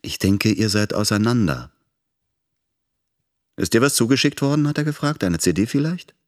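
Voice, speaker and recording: A man playing a wary, brisk character recounting his dealings in a radio drama. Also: on the low side, expressive, lightly acted. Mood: uneasy.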